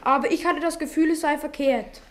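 A woman's voice: high-pitched, fairly even intonation, fairly quick, lightly acted. A woman playing an earnest, conscientious boarding school boy in a 1950s German radio play. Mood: earnest, hesitant.

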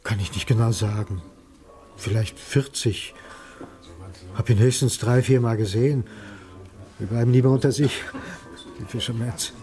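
An actor playing a wary, reluctant rural farmhand who answers curtly in a crime radio play. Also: male voice, medium pitch, fairly even intonation, moderate pace, long pauses, mellow, close to an everyday voice. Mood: guarded. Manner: guarded, curt, reluctant.

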